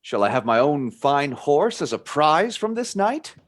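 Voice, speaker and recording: A man's voice: fairly high pitch, very animated, unhurried. An actor playing a curious, polite, slightly flustered young man in a radio play. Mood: curious, musing.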